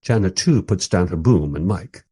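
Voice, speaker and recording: A man's voice: sits low, lively intonation, normal speed, warm tone, slightly rough. A man playing a bemused documentary interviewer who narrates a radio play in a dry, reflective, self-deprecating way. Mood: uneasy, bemused. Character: wry, bemused male documentary interviewer narrating his journey.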